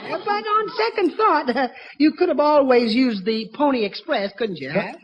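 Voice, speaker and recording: A man playing a flustered, bumbling middle-aged family man in a 1940s radio comedy, hesitant, stammering and excitable. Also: extremely high pitch, unhurried, muffled recording, some chatter behind, full character voice. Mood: sheepish. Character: flustered, bumbling suburban husband and father, office employee. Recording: noisy old 1940s radio recording, audience.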